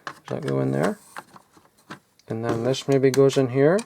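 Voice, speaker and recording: A man's voice: mid-range voice, a little slow, gravelly, dark tone, a little hiss or hum. A man rambling casually as he digs through old toys, mumbling asides and swearing now and then. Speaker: toy collector vlogger. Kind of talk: casual off-the-cuff commentary while sorting toys. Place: cluttered loft.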